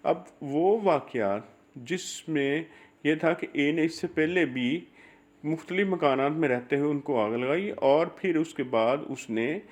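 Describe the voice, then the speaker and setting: A man with a Pakistani accent giving an explanatory law lecture, reading and explaining in a halting way, repeating and rephrasing key phrases. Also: fairly high pitch.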